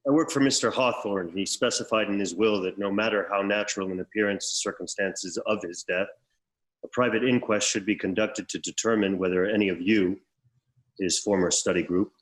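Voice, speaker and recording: An actor playing a severe, emotionless executor who speaks formally and precisely in a scripted comedy table read. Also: male voice, fairly low pitch, fairly quick, close to an everyday voice, rough recording. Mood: formal, impassive. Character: severe, emotionless executor in a suit, coolly officious. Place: remote video call.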